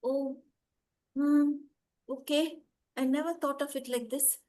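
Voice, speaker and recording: A woman's voice: level tone, fast talker, lightly acted. A woman doing a wailing, fretful old mother. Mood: calming. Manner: wailing, high and tearful.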